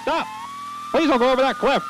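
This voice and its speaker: Man doing a high, earnest motorcycle cop. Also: brisk, noisy background. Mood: urgent. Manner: earnest, over-polite. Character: earnest, pleading, polite motorcycle policeman. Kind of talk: cartoon voice acting.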